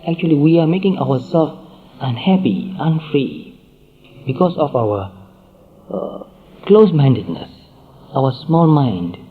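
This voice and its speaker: A man's voice: medium pitch, very animated. Man giving a Buddhist talk over a tinny line. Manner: measured, repetitive phrasing with pauses. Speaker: Buddhist teacher. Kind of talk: dharma talk. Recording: tinny phone-like sound.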